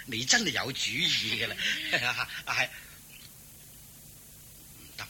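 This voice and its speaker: An actor playing a devout, easily swayed household master in a Cantonese radio drama, sighing and exclaiming readily. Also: male voice, medium pitch, very animated, takes it slowly, bright, forceful tone, a little hiss or hum, exaggerated voice. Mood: pleased, eager.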